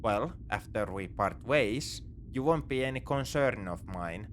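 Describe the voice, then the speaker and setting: A man's voice: mid-range voice, expressive, a little slow, slight background noise, lightly acted. A man playing a morally grey space pirate captor in a sci-fi ASMR roleplay, talking in a casual, wry, coaxing way. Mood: matter-of-fact. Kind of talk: second-person sci-fi ASMR roleplay acting.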